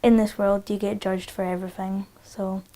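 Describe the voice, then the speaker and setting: A woman's voice: medium pitch, level tone, normal speed, dark tone. A thirteen-year-old girl from Poland answering interview questions shyly, searching for her words. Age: teens.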